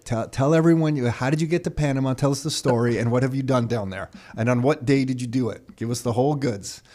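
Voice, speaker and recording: A man's voice: mid-range voice, quick pace, warm tone. A Canadian man co-hosting a podcast, telling a story in a rambling, self-correcting way and laughing as he goes. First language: Croatian. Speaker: podcast co-host. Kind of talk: casual storytelling chat.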